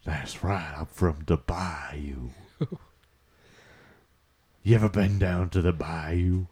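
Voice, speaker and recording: A man's voice: sits low, slow, a little mellow, breathy edge, full character voice. A man putting on a folksy, pushy Louisiana bayou man with a drawling accent and an aggrieved streak, in improvised comedy. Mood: folksy, relaxed. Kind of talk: improvised comic character interview.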